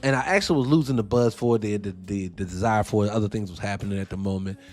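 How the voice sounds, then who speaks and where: A man's voice: lowish voice, fast talker. A young adult man hosting a talk show, delivering heated, profane commentary with rambling tangents. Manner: profane, animated, ranting with tangents. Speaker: host.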